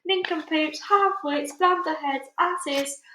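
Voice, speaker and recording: A woman voicing a loud, cocky male centipede in a children's storybook, scornful and mocking as insults are thrown. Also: high voice, slow, reverberant, slight background noise, voice pushed up, heavily acted, rough recording. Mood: loud taunting.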